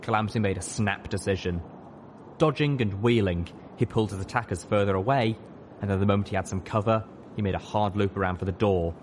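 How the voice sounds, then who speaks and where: Low-voiced man narrating fiction. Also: a little fast, a little hiss or hum. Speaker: audiobook narrator. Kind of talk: dramatic scripted fiction narration.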